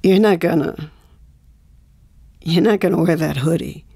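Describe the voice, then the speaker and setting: An actress playing an anxious, defensive pregnant wife in a naturalistic audio drama, with an emotional, urgent delivery. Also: female voice, on the low side, measured pace, mellow, husky, no echo, studio quality. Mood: anxious, pleading. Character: anxious, defensive pregnant young wife, pleading with her husband.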